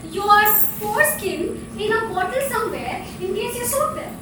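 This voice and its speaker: An actress playing a middle-aged wife in a live stage play, wry, teasing and provocatively frank, with a dryly sarcastic theatrical delivery. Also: female voice, very high voice, expressive, normal speed. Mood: playful. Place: indoor theatre stage, recorded from a distance. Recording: echoey, noisy theatre, far from mic.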